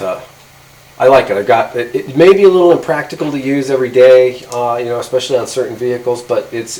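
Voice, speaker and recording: A middle-aged man with an average-pitched voice hosts a hands-on gear demonstration. He talks informally, rambling and hesitating as he goes. He is recorded in a workshop from a few feet away, with slight noise behind him. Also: a little mellow, low-quality recording. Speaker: video host. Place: indoor garage workshop at a workbench.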